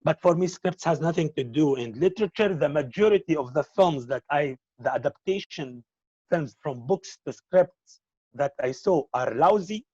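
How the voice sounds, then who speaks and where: A man whose first language is Arabic, answering interview questions about filmmaking in a halting way and often repeating words. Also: on the high side, animated, a little mellow, breathy edge, no echo, muffled recording. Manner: halting, repeats words while searching for them.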